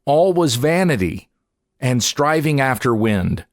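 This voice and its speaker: A man reads scripture aloud like a pastor narrating an audiobook, in a measured, solemn, deliberate way. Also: medium pitch, sing-song, dry recording, clean studio sound.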